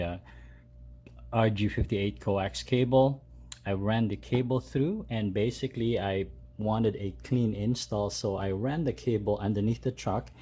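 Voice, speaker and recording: A man giving a casual, unscripted step-by-step tutorial as a DIY host, with halting delivery. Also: on the low side, dark tone. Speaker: host of a DIY truck modification video. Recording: clear background noise, ambient sound.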